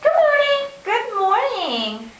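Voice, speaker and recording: A woman puts on an eager young student puppet with an extremely high, swooping voice. The lines come out slowly and earnestly, like a recited classroom script. It is picked up from a distance in a roomy, hissy space. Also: wildly swooping pitch, exaggerated voice. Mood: excited. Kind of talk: scripted puppet show dialogue.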